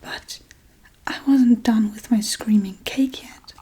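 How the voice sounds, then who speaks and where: Breathy, whispering scatterbrained witch, played by a woman. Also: on the high side, takes it slowly, dark tone, heavily acted. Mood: offhand, musing. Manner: offhand, rambling, blithely unbothered. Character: scatterbrained, casually callous female Halloween witch. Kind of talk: soft-spoken ASMR role-play.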